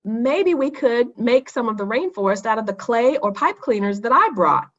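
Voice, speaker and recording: A woman's voice: a little high, expressive, moderate pace, dull audio, pitched above the performer's own voice. A woman voicing a friendly, cheerful schoolboy who encourages teamwork in a children's storybook. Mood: tentative. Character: friendly, cooperative schoolboy, cheerful team player.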